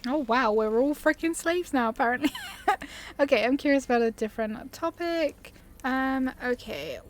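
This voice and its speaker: Higher-pitched woman doing casual gameplay commentary. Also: expressive, moderate pace, slight background noise. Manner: informal, chatty, thinking aloud. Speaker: gaming video host.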